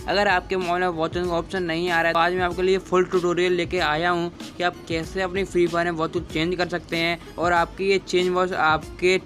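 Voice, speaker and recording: High-pitched young man, evenly intoned. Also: a bit bright. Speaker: gaming tutorial host. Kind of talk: scripted tutorial voice-over. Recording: background music under voice-over. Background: music.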